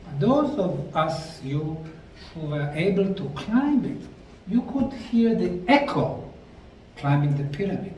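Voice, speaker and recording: A man with a Bosnian accent giving a deliberate, emphatic lecture to a live audience. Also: high-pitched, sing-song, dark tone. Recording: echoey room, mic far off, faint noise.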